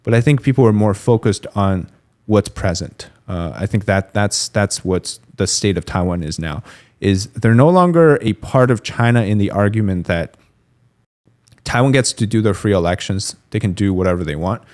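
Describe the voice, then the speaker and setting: A man's voice: lowish voice, expressive, normal speed, darkish tone. A man as an interview guest on a podcast, explaining politics in a thoughtful, analytical way with many fillers and restarts. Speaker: guest commentator.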